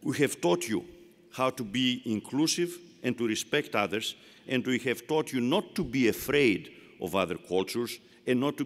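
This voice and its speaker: A man's voice: lowish voice, a bit bright. An older man with a Greek accent giving an emphatic, rhetorical commencement speech. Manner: rhetorical, emphatic, repeats phrases for effect. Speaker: commencement speaker. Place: large arena. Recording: long arena reverb.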